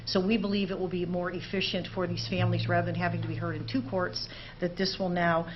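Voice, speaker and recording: Middle-aged lady legislator talking to council, lowish voice, lots of uhs, kinda dull recording w faint hiss. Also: little pitch movement. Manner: measured, with many "uh" fillers. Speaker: state legislator addressing city council. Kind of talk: formal public remarks. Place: city council chamber.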